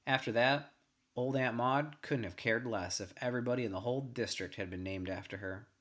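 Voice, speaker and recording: Male narrator, mid-range pitch, reading literary first-person fiction aloud. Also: a little fast, a bit bright. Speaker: story reader. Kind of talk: scripted narration of a short story, first person.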